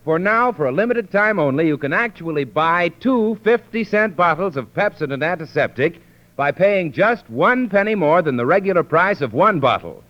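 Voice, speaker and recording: High-voiced male 1930s radio pitchman. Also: expressive, moderate pace. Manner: polished sales pitch. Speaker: radio announcer. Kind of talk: 1930s radio commercial read. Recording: dull 1930s radio recording.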